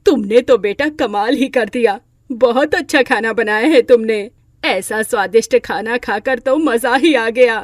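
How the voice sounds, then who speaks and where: A woman's voice: on the high side, unhurried, no echo, clean studio sound. A woman voicing a kindly middle-aged mother-in-law in a moral story, with a motherly way of talking. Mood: affectionate. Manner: affectionate, motherly. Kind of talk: Hindi moral-story character acting.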